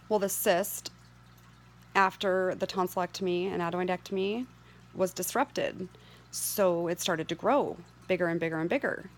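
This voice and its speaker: A middle-aged woman speaks in a hoarse voice that sits a little low. She talks candidly and earnestly to the camera, like a patient advocate sharing personal testimony. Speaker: patient advocate sharing her medical story.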